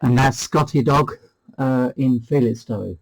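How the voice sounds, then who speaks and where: A man's voice: medium pitch, unhurried, vocal fry, poor audio. A British man hosting a CB radio demo, chatting casually in a hesitant, rambling way. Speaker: CB radio hobbyist and channel host.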